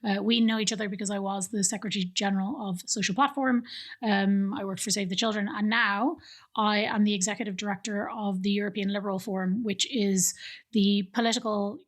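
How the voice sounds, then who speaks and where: A middle-aged Irish woman, a think tank director, explaining her work in a relaxed interview with frequent ums and restarts, recorded on a stand mic in a living room studio. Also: medium pitch. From Ireland. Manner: explanatory, many fillers and restarts. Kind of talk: conversational interview.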